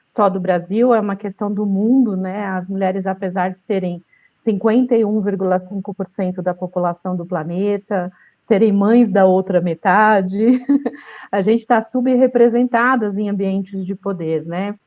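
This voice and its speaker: A woman's voice: mid-range voice, fairly even intonation, normal speed, dull audio. A middle-aged woman moderating a panel, introducing the guests with enthusiasm. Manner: enthusiastic, warm framing of guests.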